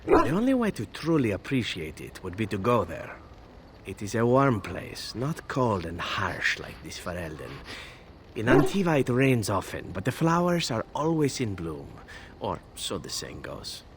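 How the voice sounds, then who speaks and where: An actor playing a charming, roguish assassin from abroad in a video game, with teasing, florid and theatrical phrasing. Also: male voice, lowish voice, very animated, normal speed, very noisy. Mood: wistful. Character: roguish, charming male foreign assassin, wistful about home. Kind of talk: video game character acting.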